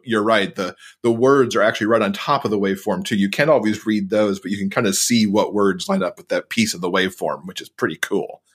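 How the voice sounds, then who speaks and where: A man with a lowish voice speaks as a podcast guest. He is informal and explanatory, talking in the relaxed language of a casual interview.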